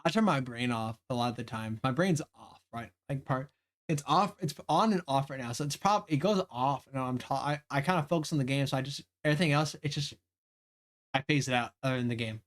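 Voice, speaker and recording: A man's voice: mid-range voice, brisk, a bit bright. A man with a light Southern accent, chatting rambly on a live game stream. He restarts his sentences, laughs often and swears casually. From Southern United States. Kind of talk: casual live-stream chat, reading game dialogue aloud.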